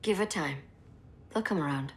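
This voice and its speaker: An actress playing a calm female scientist running a base, steady and encouraging, in a video game cutscene. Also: sits low, normal speed, slight background noise, natural voice.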